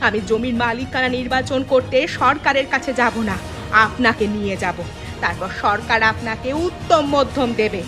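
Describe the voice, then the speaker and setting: A woman plays a young village wife in a cartoon, high-voiced and earnest. She is devoted and outspoken, with music playing behind her. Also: moderate pace, natural voice. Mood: angry, defiant. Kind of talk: Bengali cartoon dialogue.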